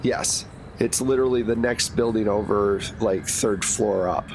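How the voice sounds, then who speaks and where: A man's voice: on the low side, unhurried, gravelly. A man running a tabletop game as game master, narrating casually and loosely. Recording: noisy background hiss.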